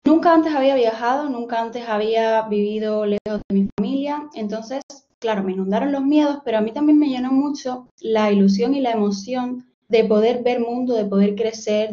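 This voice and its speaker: Cuban lady giving an online lecture from home, highish soft voice, muffled call audio. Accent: Cuban Spanish. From Cuba. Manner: friendly, personal storytelling. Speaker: guest lecturer, postdoctoral researcher. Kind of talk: online seminar talk. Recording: dull lo-fi video call audio.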